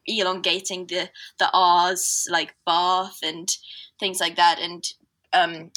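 A woman's voice: fairly low pitch, level tone, slow pace, fairly bright tone, poor audio. A woman from southwest England with a near-standard southern English accent, chatting as an interview guest with lots of fillers and hedges. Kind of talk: casual interview chat.

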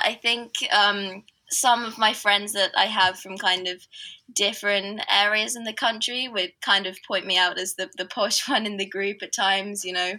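Bright-voiced southern English woman. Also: mid-range voice. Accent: southern English, near standard. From southwest England. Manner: chatty, many fillers and hedges. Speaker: guest. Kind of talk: casual interview chat.

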